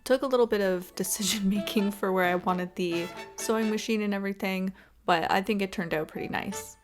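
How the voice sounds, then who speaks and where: A woman's voice: medium pitch, a little fast. A woman hosting a gaming video with casual, chatty, encouraging commentary in an informal way. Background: background music.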